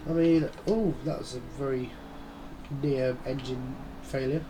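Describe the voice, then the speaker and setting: A man's voice: medium pitch, fairly even intonation, unhurried, noisy background. Stuffy-nosed man chatting over gameplay. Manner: rambling, chatty, muttering asides. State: stuffy nose from a head cold, mouth-breathing. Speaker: gaming channel host. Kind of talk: casual gameplay commentary.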